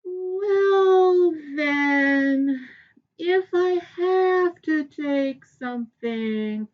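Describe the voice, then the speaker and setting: A woman's voice: high-pitched, extremely slow, dull audio, pitched above the performer's own voice. A woman voicing a covetous, falsely modest male earth king in a folk tale reading. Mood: grasping.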